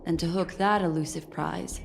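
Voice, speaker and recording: A composed, enigmatic woman guide from a video game, with a lowish voice. She speaks deliberately and shrewdly, as if weighing each secret, over clear background music. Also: steady tone. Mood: grave, musing. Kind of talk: scripted video game dialogue.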